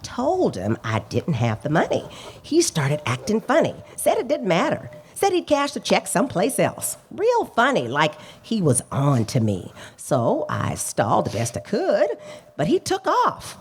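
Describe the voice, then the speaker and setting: Woman playing a feisty, swooping, husky-edged radio witness. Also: mid-range voice, wildly swooping pitch, a little slow, heavily acted. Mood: matter-of-fact, chatty. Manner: emphatic, chatty, clipped sentences. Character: feisty, talkative female check-forgery witness, indignant and opinionated. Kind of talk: live radio play acting, police drama.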